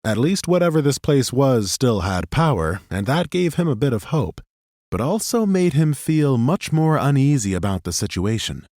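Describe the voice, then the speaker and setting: A man narrating a fantasy audiobook in a steady, measured storytelling manner, close to a studio microphone. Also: mid-range voice, no echo.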